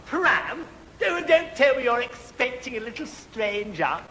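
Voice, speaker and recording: An actor playing a prim, fussy, easily shocked middle-aged gentleman in a 1970s radio sitcom. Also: very high voice, sing-song, measured pace, full character voice. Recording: echoey old radio hall, faint hiss.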